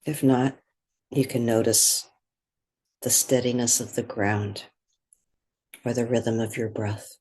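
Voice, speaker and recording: A meditation guide speaking gently and in a measured way, leaving long pauses between phrases. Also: mid-range voice, steady tone. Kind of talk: guided meditation, soothing spoken instruction.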